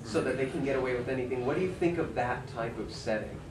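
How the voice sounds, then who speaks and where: A distant, echoey recording of a man in the audience at a panel. He asks his question casually and politely, hesitating often, with clear noise around him. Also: mid-range voice, fast talker. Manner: polite, hesitant, filler-heavy. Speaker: audience member asking a question. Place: large conference room. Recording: noisy, echoey hall from far off.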